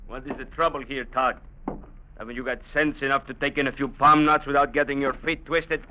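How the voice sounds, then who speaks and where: An actor playing a bullying, menacing trading captain, on an old radio recording. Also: male voice, mid-range voice, steady tone, sharp tone, highs cut off, slight background noise. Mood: commanding. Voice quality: slow, menacing, domineering.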